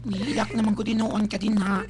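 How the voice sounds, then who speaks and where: A woman playing a fussy, caring, excitable nanny in a melodramatic radio drama, exclamatory and scolding, with a Cebuano Filipino accent. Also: mid-range voice, fairly quick, a crowd in the background, close to an everyday voice. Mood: advising, chiding. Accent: Filipino, Cebuano-speaking. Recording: faintly noisy live radio capture.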